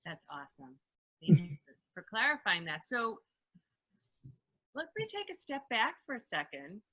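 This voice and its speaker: Interview host: woman, mid-pitched, bright and crisp-edged tone, conversational questioning. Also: very animated, normal speed.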